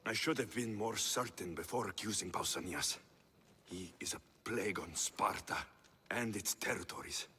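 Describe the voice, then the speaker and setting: A husky, intense Spartan warrior hero. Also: male voice, lowish voice, moderate pace, bright, forceful tone, in a large hall, heavily acted. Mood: grave, urgent. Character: earnest, defiant young Spartan mercenary hero. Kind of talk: dramatic video game acting.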